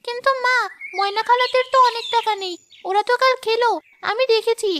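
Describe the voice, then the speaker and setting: A woman's voice: very high-pitched, fairly even intonation, brisk, a bit bright, slight background noise. A woman doing a coaxing little girl. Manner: coaxing, wheedling. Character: young girl of a poor family, longing.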